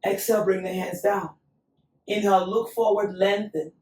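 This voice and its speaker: A woman teaching a yoga class, calmly cueing breath and poses, her voice still recovering after being lost. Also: medium pitch, normal speed. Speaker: yoga instructor. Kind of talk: guided yoga class instruction. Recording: echoey room, voice from a distance.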